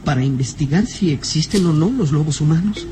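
A man's voice: on the high side, animated, fairly quick, over music, close to an everyday voice. Anxious young boy sidekick played by a man. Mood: fearful, questioning. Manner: anxious, hesitant, earnest. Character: small, nervous, curious boy sidekick, respectful and loyal. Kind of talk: old radio serial acting.

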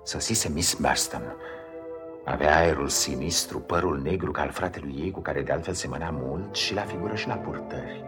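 An actor playing a narrator in a 1950s radio drama, telling a literary story of his childhood. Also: extremely low pitch. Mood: measured storytelling. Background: music.

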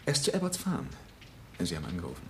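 An actor in a German radio play, playing a suave, flirtatious bachelor who talks with mocking politeness. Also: male voice, sits low, wildly swooping pitch, fast talker, a little hiss or hum. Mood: casual, smooth. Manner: smooth politeness with mocking edge. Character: suave, sardonic bachelor charmer, flirtatious and probing.

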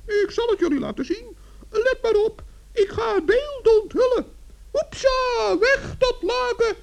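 A friendly little forest gnome from a children's radio play. He is cheerful and sensible, with an extremely high, squeaky voice that creaks and crackles. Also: expressive, a little slow, full character voice. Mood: amused, explaining.

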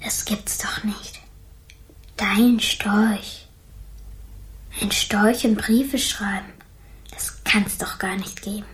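An actress playing a young girl reading a letter to herself in a breathy, hushed whisper and musing on it, in a radio play. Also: medium pitch. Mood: puzzled.

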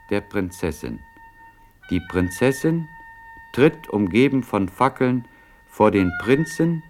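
Slow, solemn male drama narrator. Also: mid-range voice, darkish tone. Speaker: narrator reading stage directions. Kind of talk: scripted narration for a German classical radio drama.

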